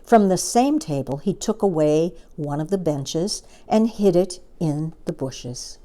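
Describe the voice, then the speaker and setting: Low-voiced woman reading a children's story. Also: expressive, a little slow, warm tone. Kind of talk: expressive scripted children's story narration.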